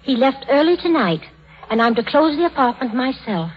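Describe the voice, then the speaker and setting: Polite housekeeper lady, bit husky, old 1930s radio play, muffled. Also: sits high, very animated, normal speed, heavily acted. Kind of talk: 1930s radio drama acting. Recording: muffled 1937 radio recording.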